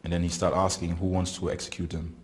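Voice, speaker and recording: Man, interviewee; deep and monotone, halting delivery, often searches for words. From Germany. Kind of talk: recounting experiences in an interview. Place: prison.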